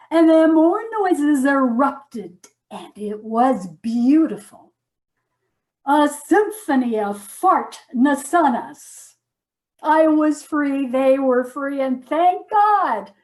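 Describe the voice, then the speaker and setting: A woman performing a theatrical comic monologue as first-person storytelling, with dramatic, mock-solemn delivery and deliberate pauses. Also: high voice. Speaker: stage performer.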